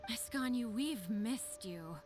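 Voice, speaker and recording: A woman's voice: on the high side, lively intonation, takes it slowly, music in the background. A woman voicing an adoring, relieved young office clerk in a video game.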